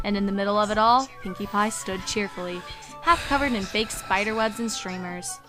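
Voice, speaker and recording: Music plays clearly behind a woman narrator with a medium-pitched voice. She reads a scripted story in a measured, descriptive storytelling manner.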